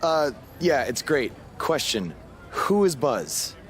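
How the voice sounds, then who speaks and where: A man's voice: on the high side, very animated, slow pace, fairly bright tone, slight background noise, full character voice. An actor playing a weary, sceptical young male wrestler in a video game, with a dry, hesitant, unimpressed manner. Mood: baffled, polite. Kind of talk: video game cutscene acting.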